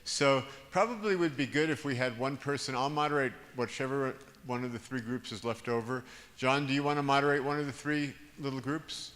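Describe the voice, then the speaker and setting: A man's voice: medium pitch, brisk, a bit bright. Man moderating in an echoing hall. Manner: hesitant, restarts often, chuckles. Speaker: panel moderator. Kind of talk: organizing a group discussion. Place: large conference room. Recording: roomy hall reverb, background hiss.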